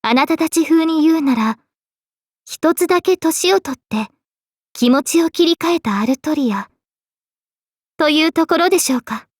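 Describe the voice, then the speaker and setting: A woman voicing a gentle, dignified young female knight in a game, speaking politely and with composure. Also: sits high, lively intonation, moderate pace, pauses often, dry recording, studio quality. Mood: calm, explaining. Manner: polite, composed, formal speech. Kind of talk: scripted game character voice lines.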